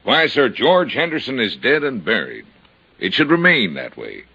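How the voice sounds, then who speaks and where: A stuffy small-town mayor in an old radio play. His forceful voice swings broadly in pitch as he speaks in orotund, formal phrases, solemn and evasive. The recording is dull and lo-fi. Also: sing-song, normal speed, gravelly, husky, heavily acted. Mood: insistent, pleading. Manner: pompous, formal, orotund delivery. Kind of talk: old-time radio drama acting.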